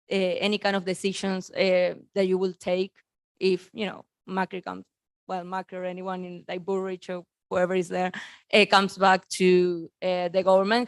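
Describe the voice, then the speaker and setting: A young woman from Argentina in her twenties, asking a panel speaker questions from the audience. Her voice is smooth and even, with little rise or fall, and she hesitates with many fillers as she works through her points. The sound is dry. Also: medium pitch, dry recording.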